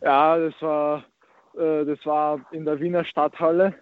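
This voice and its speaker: Flat-voiced teenage man on a tinny line. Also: a little high, a little slow, slight background noise. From Austria. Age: teens. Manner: hesitant, repeats filler words. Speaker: young tennis player. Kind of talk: interview answers.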